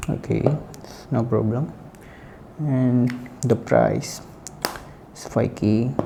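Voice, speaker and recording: A man giving a casual, unscripted product review in halting, short phrases. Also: mid-range voice, creaky, noisy background.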